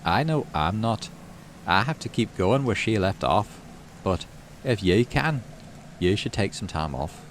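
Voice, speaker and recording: A man voicing a gentle, grieving father in a visual novel, speaking quietly and thick with emotion. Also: fairly low pitch, sing-song, unhurried, a little hiss or hum. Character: grieving, gentle father pushing on through loss. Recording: game music behind the voice.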